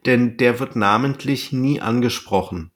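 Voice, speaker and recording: A man's voice: mid-range voice, measured pace. A man co-hosting a podcast, chatting casually about an audio drama and often tagging his sentences with a questioning "ne?". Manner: chatty, relaxed, tags sentences with "ne?". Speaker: podcast co-host.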